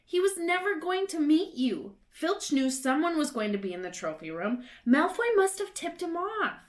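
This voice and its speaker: A woman voicing a bossy, clever schoolgirl in a storybook reading, speaking in a sharp, lecturing way. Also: sits high, big pitch swings, moderate pace, reverberant, voice pushed up.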